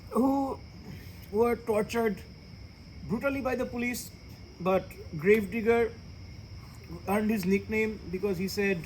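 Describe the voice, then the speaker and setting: A man's voice: high-pitched, unhurried, pauses often, a little mellow, slight background noise, low-quality recording. A man with a Bangladeshi accent hosting a casual, profane comedic chat and mixing in Bengali. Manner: profane, joking, switches between English and Bengali. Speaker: comedian host.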